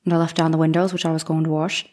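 A young housemaid testifies in a courtroom audio drama, voiced by a woman, answering briskly with a flat, steady delivery. She keeps her replies short and deferential, adding "sir" often. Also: low-pitched, a little hiss or hum, natural voice. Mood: plain, deferential. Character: young Irish housemaid on the witness stand.

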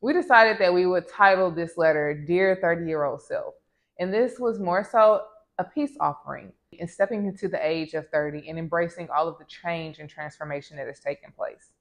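A woman of thirty from the American South, chatting to camera about a trip in a relaxed vlog, recorded at home on a lapel mic. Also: fairly low pitch, normal speed. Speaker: vlogger. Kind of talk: casual travel vlog. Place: small home room with plants.

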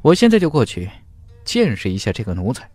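Man acting out proud young fantasy hero, animated. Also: medium pitch, a little fast, no echo, soft background music. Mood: scornful. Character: triumphant, proud young male cultivation hero, coldly confident. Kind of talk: fantasy audiobook character acting.

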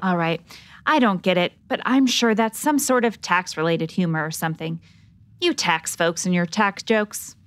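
A woman's voice: fairly low pitch, moderate pace, no echo, soft background music, natural voice. A woman playing a harried, snappy ace newspaper reporter in a 1940s-style radio drama, with sassy vintage slang. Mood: sassy, hurried.

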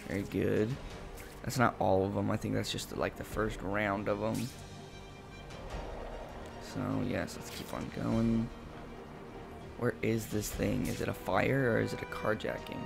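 A man casually commenting as he plays a video game, chatty and talking himself through each move, his voice gravelly after just waking up. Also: fairly low pitch, flat delivery, a little slow, long pauses. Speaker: gameplay commentator. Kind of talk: casual let's-play commentary. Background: game music and sound effects.

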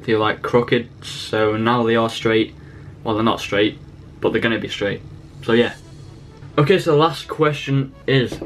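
A man's voice: medium pitch, measured pace, rough recording. English lad of about fifteen doing a casual youtube q&a in his bedroom, music behind him. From England. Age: 15, teenager. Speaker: vlogger, channel host. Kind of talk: casual to-camera Q and A vlog. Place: small bedroom, indoors.

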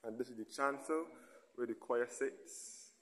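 A man giving a relaxed guided walking tour, recorded on a handheld camera as he moves from a churchyard into a large church. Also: mid-range voice, a little slow. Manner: relaxed, pointing things out as he walks. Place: outdoors in a churchyard, then inside a large church.

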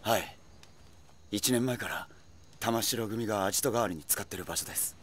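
A man's voice: on the low side, moderate pace, fairly bright tone, a little hiss or hum, natural voice. A man playing a hot-blooded, loyal young yakuza underboss in a video game drama, earnest and pleading with his boss. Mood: deferential.

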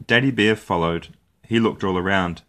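A man reading a children's picture book aloud as host, with an expressive, deliberate storytelling delivery. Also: low-pitched.

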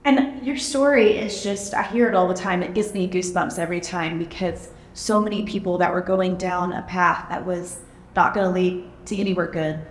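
A woman's voice: on the low side, normal speed. A woman in her thirties hosting an on-camera interview, chatty and upbeat with many fillers. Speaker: interviewer, promotion host. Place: large indoor gym. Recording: echoey gym with long reverb.

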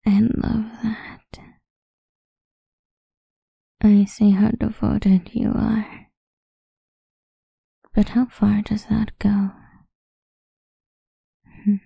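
A woman playing a possessive, seductive goth vampire idol in an intimate ASMR roleplay, breathy and husky, often whispering, slow and teasing, close on the mic. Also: mid-range voice, level tone, dark tone, no room sound, exaggerated voice. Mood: coaxing, seductive. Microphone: close studio-style microphone, dry.

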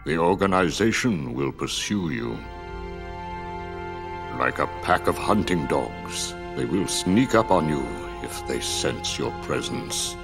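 An actor playing a mysterious cloaked older man in a video game, speaking in a solemn, cryptic way with a gravelly voice over game music. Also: animated, takes it slowly, heavily acted. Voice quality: deep gravelly voice. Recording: game audio with loud music underneath.

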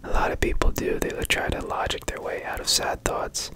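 A man guiding a meditation in ASMR style, in a soft breathy whisper with gentle, soothing instruction, close to the microphone. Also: moderate pace. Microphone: close studio microphone.

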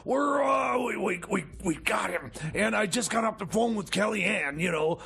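A high, gravelly, sharply raspy voice that a man puts on, belonging to a scheming radio host who stammers through every sentence. He talks loud and bright, nearly shouting, tripping over his words as he plots. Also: normal speed, heavily acted. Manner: stammers constantly, loud and excitable. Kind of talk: improvised comedy radio theater.

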